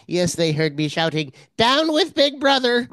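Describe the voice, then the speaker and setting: A pathetically loyal middle-aged party man in a radio play, acted by a woman. He stammers and fawns, eager to please, with very animated pitch swings in a dry, close recording. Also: slow pace, no room sound, heavily acted. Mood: nervous, confiding. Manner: stammering, ingratiating. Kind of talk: radio drama acting.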